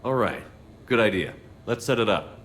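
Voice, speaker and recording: A man playing a gruff, steady, heroic military commander in a video game, speaking tersely with firm authority. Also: on the low side, moderate pace, slight background noise. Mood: easygoing. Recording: loud music behind the voice.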